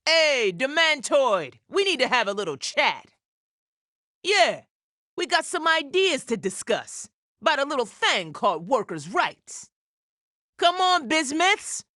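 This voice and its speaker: Brash, lively, bright-voiced gem builder. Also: female voice, high voice, measured pace, no room sound, studio quality, heavily acted. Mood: defiant, playful. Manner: punchy, blunt, folksy. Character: brash, hearty, loyal female gem builder. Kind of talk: animated game cutscene acting.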